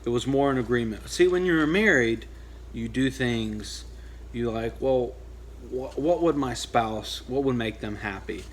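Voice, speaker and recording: A husband bantering casually off-camera, playfully defensive, heard from a distance. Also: male voice, medium pitch, measured pace. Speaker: vlogger's husband.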